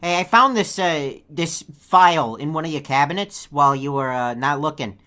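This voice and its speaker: A woman voicing a lazy, greedy bird who heckles in a deadpan, sarcastic way as a video game character. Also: low voice, normal speed. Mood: dry sarcasm.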